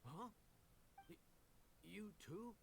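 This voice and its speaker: Friendly, higher-pitched young chef. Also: male voice, animated, drawn-out words, vocal fry, a little hiss or hum, heavily acted. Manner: polite, earnest, hospitable. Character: friendly, modest young male inn chef. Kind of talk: video game voice acting.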